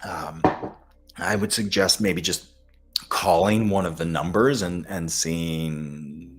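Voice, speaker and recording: An American man from the Midwest giving informal question-and-answer health advice as a doctor, in a halting way with pauses between phrases. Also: low voice, background noise.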